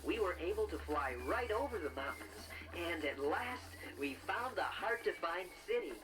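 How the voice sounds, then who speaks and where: An actor voicing a friendly, earnest young bear who gently narrates a children's read-along adventure. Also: medium pitch, sing-song, normal speed, natural voice, poor audio. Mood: adventurous storytelling. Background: music.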